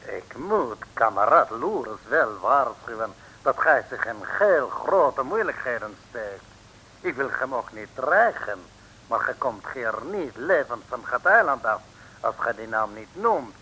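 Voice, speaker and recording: An actor playing a menacing, formal male agent of a foreign regime, measured and coldly polite, in a 1950s radio serial. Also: mid-range voice, very animated, sharp tone, heavily acted. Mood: cold menace. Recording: hissy, noisy old radio recording.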